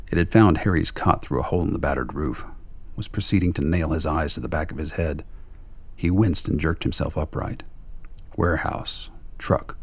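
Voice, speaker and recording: A man reading dramatic scripted narration for a radio play. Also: very deep voice.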